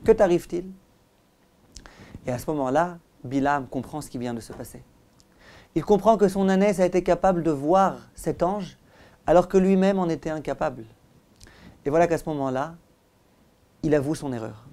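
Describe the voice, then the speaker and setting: Rabbi guy telling a bible story to his listeners, mellow dark voice, dramatic pauses. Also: a little high. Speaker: rabbi, teacher. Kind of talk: religious lesson told as animated storytelling.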